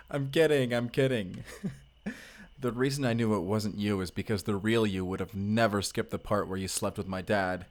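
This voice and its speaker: A lowish-pitched male vampire slayer, played by an actor, wry and casual. He quips like an action hero in a cinematic audio roleplay. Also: moderate pace, close to an everyday voice. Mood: teasing relief.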